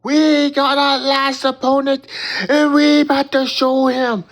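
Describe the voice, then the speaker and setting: Male gamer, fry-heavy high voice, slow sing-song bragging and taunting. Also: flat delivery, a bit bright. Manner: drawn-out dramatic chanting taunts; boastful, calls opponents noobs. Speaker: gamer commentating own match. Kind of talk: hyped trash-talk commentary.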